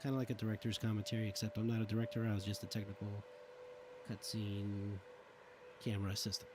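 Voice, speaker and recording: A man's voice: lowish voice, level tone, fast talker, noisy background. A man doing casual livestream commentary on a game, thinking aloud and reminiscing informally as someone who helped make it. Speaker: streamer, former game cutscene assistant giving commentary.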